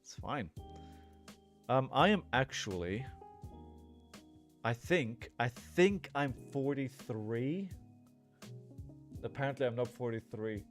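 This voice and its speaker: A man's voice: mid-range voice, expressive, slow pace, pauses often, soft background music. A man in his forties giving casual, rambling live commentary on a card game, thinking aloud and chatting to viewers. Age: 40s. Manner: rambling, thinking aloud, frequently checking in with "right?".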